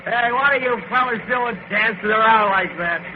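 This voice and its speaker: A man's voice: sits high, moderate pace, lightly acted. An actor playing a drugged, raving, thirsty man in an old-time radio drama. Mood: giddy, raving. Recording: muffled, very noisy old radio recording.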